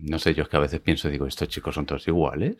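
A man's voice: extremely low pitch, steady tone, fast talker, no echo. A man from hosts a conversational interview in an encouraging way. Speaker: interview host.

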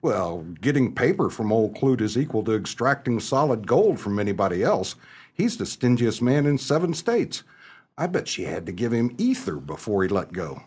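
A man playing an exasperated, wry small-town husband in a stagey comedic radio play, animated and sardonic. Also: fairly low pitch, moderate pace, slightly rough. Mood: emphatic, scornful.

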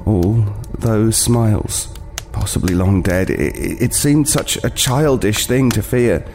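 A man's voice: lowish voice, unhurried, darkish tone, slight background noise. An actor playing an uneasy homeless man recounting a frightening tale in an audio drama, hesitant and brooding, stumbling over words. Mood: uneasy, haunted. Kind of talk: audio drama first-person horror narration.

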